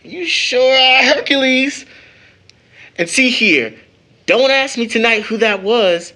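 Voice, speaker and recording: A man's voice: very high voice, lively intonation, takes it slowly, bright, forceful tone, heavily acted. An actor playing a good-natured young man in an audio drama, jovial and teasing as if with a child.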